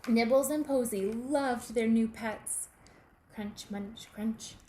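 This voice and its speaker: A woman reading a children's picture book aloud, in a deliberate, expressive way aimed at young listeners. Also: on the high side. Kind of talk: children's storybook read-aloud. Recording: echoey room with faint background noise.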